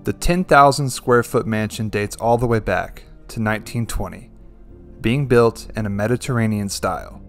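A man reading a scripted voice-over narration in a steady, explanatory way. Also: lowish voice, normal speed. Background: faint music bed.

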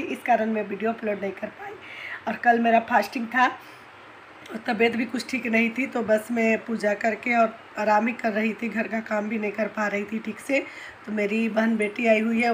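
A woman in her thirties from Jharkhand, India, her voice hoarse from a cold, chatting homely and direct to viewers as she vlogs at home and outdoors on a phone held at arm's length. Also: mid-range voice, background noise. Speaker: vlogger. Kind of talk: casual vlog to camera. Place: home and nearby street, indoors and out.

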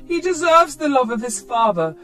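A woman's voice: sits high, very animated, moderate pace, fairly bright tone, voice pushed up. Woman as disapproving older parent, reproachful, pleading, audio story, background music. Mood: scolding.

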